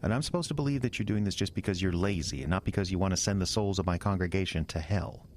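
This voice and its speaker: Man playing a low-voiced, troubled young pastor. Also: a little hiss or hum, lightly acted. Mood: wary resistance. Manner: halting, exasperated, distrustful. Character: earnest, troubled young male small-town pastor, suspicious and wounded. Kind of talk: horror audio drama acting.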